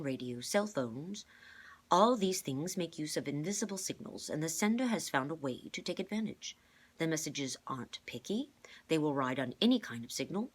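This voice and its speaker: A woman voicing a calm, clever older man in a storybook, measured and formal as he explains. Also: sits low, breathy edge. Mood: patient explaining.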